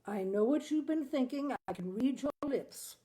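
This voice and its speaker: Woman acting a fussing, high-pitched exasperated wife. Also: expressive, normal speed, warm tone. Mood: knowing. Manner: insistent, chiding, concerned. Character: fussing, exasperated wife scolding her dreamy husband. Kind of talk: acted dialogue in story reading.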